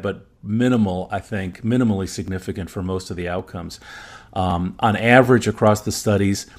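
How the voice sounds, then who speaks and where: A man with a fairly low voice, a native German speaker, speaks as a medical expert on a podcast. He explains study findings informally, in a measured way, qualifying his points as he goes. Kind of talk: informal evidence review in conversation.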